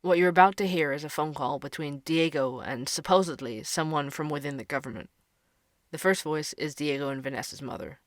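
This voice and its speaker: An actor playing a male podcast host who investigates cold cases, speaking in a calm, measured, explanatory way. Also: a little high, no echo, studio quality, close to an everyday voice.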